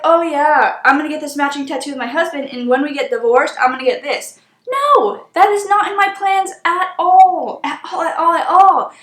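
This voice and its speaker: A woman's voice: high-pitched, fairly bright tone. A woman in her twenties telling stories in a casual, chatty and exasperated way as a beauty vlogger doing her makeup. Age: 20s. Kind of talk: casual story time while doing makeup. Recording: echoey room.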